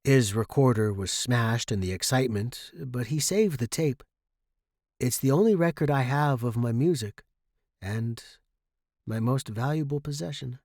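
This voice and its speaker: A male narrator tells a first-person short story in a middle-pitched voice. The reading is expressive and scripted, recorded dry without room sound. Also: no echo.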